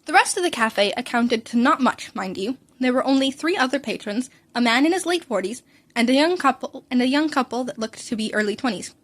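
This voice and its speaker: A woman's voice: high-pitched, expressive, a little fast, fairly bright tone. A woman reading scripted fan fiction as narrator, with wry storyteller asides.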